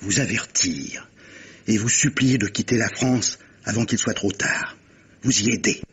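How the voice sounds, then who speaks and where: A gallant army captain with a very husky, rather low voice. He speaks formally and courteously, in the manner of an old radio mystery play. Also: a little slow, fairly bright tone, full character voice. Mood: grave, pleading. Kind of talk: vintage French radio mystery drama acting.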